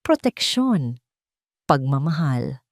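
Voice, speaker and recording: A woman reading a scripted story as narrator, with a measured, dramatic delivery. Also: low-pitched, sing-song, no room sound, studio quality.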